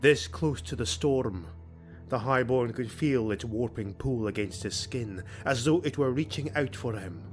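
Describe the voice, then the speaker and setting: A man's voice: medium pitch, unhurried. A man narrating a fantasy audiobook in a grave, theatrical, dramatic scripted style. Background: music.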